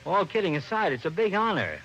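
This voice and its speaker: A man's voice: high voice, big pitch swings, quick pace, pushed and bright, exaggerated voice. A man acting as a fretful, folksy American police lieutenant, a grumbling sidekick in an old radio detective drama. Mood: coaxing. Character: gruff-humored male police lieutenant, fretful sidekick friend. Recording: noisy old-time radio recording.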